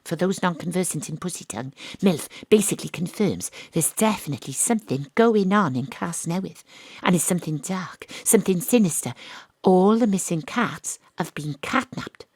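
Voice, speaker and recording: A world-weary female detective in an audio drama. Her voice sits a little low and is dryly recorded. She narrates with wry, deadpan noir delivery, like someone who has seen it all. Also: moderate pace, slightly rough, no echo. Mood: wry, brooding. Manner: deadpan, dry. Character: female private detective, world-weary, wry, hard-boiled ex-rugby star.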